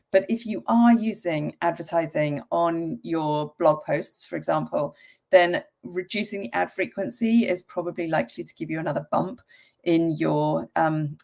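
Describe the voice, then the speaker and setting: A woman gives a chatty tutorial on a live stream, her voice croaky and gravelly from a cold. She speaks in a fairly low register and fills her talk with ums and the odd cough. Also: a little mellow. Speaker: website mentor hosting a live stream.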